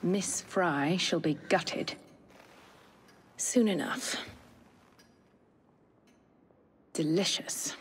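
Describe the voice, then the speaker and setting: An actress playing a cold, calmly cruel Victorian villainess with a British accent and measured menace in a game cutscene. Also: female voice, fairly low pitch, breathy edge, exaggerated voice. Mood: cruel relish. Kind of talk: dramatic video game cutscene acting. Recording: reverberant hall, faint noise.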